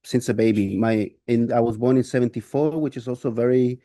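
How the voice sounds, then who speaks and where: A man's voice: medium pitch, fairly even intonation, brisk, dark tone, dry recording, studio quality. A man in his fifties from Chile, a guest artist answering interview questions thoughtfully, with many restarts and fillers. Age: 50s.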